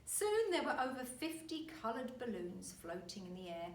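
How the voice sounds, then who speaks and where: Expressive, high-voiced woman reading a story. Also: moderate pace. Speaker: primary school teacher reading aloud. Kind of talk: children's story narration. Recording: roomy, distant mic, faint noise.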